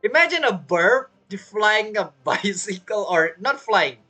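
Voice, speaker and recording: A streamer comments casually on a game story. The delivery is informal and amused, with very animated intonation. Game music runs softly in the background. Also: mid-range voice, measured pace. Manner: informal, amused, non-standard grammar.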